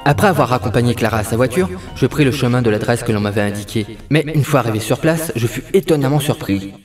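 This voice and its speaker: A man's voice: mid-range voice, lively intonation, fast, music in the background, close to an everyday voice. A man playing a weary, wry and stubborn 1930s private detective in a French audio drama, narrating and talking in noir style. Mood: brooding narration.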